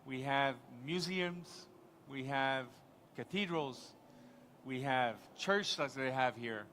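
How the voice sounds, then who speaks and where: A man's voice: mid-range voice, takes it slowly, fairly bright tone, slight background noise. A man from Quebec with a French-Canadian accent, a native French speaker, speaking as a panelist at a live conference and rambling enthusiastically with many restarts.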